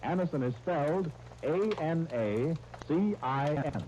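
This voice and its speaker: A man's voice: fairly high pitch, animated, unhurried, poor audio. A man announcing in 1930s radio style, reading scripted narration and sponsor copy with dramatic emphasis.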